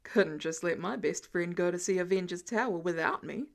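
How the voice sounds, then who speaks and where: A woman's voice: lowish voice, moderate pace, creaky, pitched below the performer's own voice. A woman voicing a bored, confident rich teenage heir with a nonchalant manner, as in an audiobook. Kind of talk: audiobook character dialogue.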